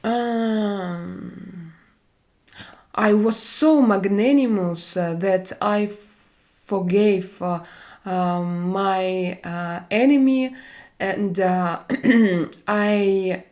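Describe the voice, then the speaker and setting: A Russian woman with a Russian accent, hosting a casual live-stream chat in English, her second language, often pausing with "uh" as she searches for words. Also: mid-range voice, darkish tone. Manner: halting, frequent "uh" fillers while searching for English words.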